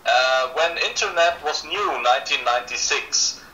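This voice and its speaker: Bright-voiced man, echoey room. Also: a little high, expressive, moderate pace, dull audio, soft background music. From Indonesia. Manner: rambling, hesitant with many fillers. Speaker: conversation partner. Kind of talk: casual conversation. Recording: echoey room with faint noise.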